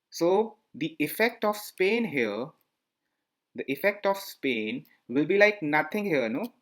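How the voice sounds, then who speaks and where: Man teaching over slides, slightly high voice. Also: a bit bright. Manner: repetitive, asks listeners questions. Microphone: voice-over on slide screencast.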